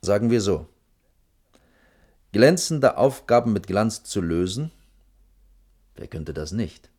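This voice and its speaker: A man's voice: on the low side, big pitch swings, takes it slowly, a little mellow, full character voice. An actor playing a stern, dutiful boss in his late thirties, speaking formal, brusque officialese in a German radio drama. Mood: cold, lecturing.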